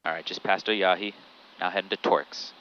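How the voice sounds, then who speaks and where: A man's voice: low-pitched, fairly even intonation, moderate pace, sharp tone. Methodical man narrating over muffled cockpit noise. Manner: methodical, terse, repeats checklist phrases. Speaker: pilot flying solo. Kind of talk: cockpit commentary, step-by-step callouts. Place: small airplane cockpit in flight. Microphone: aviation headset. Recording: muffled aviation headset audio, noisy cockpit.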